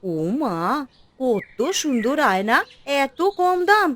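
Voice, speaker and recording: A woman playing a trusting village housewife and mother in a fairy-tale cartoon, expressive and full of exclamations. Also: high voice, slow pace, exaggerated voice. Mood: curious, delighted.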